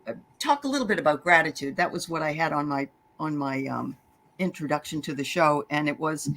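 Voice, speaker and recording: A woman's voice: lowish voice, a bit bright. A woman co-hosting a live chat, agreeing often and speaking about gratitude. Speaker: co-host. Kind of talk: casual chat on a live stream.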